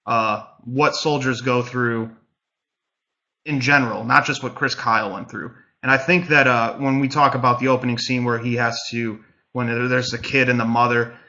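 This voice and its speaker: A man's voice: medium pitch, bright, forceful tone. A man on a casual panel, making an earnest, rambling point with many restarts. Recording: dull lo-fi sound.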